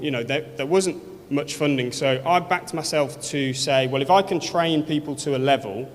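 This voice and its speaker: A man's voice: mid-range voice, a little hiss or hum. A man from Birmingham with a Birmingham accent, speaking earnestly at an awards event, with many fillers and restarts. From Birmingham, England. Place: large event hall. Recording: long hall reverb.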